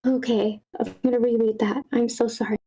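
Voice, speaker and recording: A woman's voice: on the high side, little pitch movement, fairly quick, mellow, slightly rough. A woman reading screenplay action lines aloud as the narrator of a live table read.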